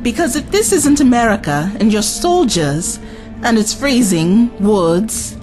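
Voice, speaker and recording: Woman acting feisty, animated female time traveller. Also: fairly high pitch, measured pace, faint music behind. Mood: puzzled, thinking. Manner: indignant, sardonic, outspoken. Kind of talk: audio drama acting.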